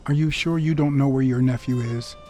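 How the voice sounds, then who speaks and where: An actor playing a hard-boiled private detective, a wry, brooding cat who speaks in measured, terse phrases in a film noir game. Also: male voice, medium pitch, fairly even intonation, dark tone, close to an everyday voice. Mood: steady, terse. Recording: music behind the voice.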